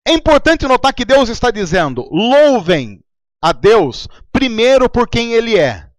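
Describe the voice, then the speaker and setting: Brazilian male pastor, high animated voice, dry close sound, chatty sermon delivery. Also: unhurried, dry recording. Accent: Brazilian Portuguese. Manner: conversational preaching with anecdotes.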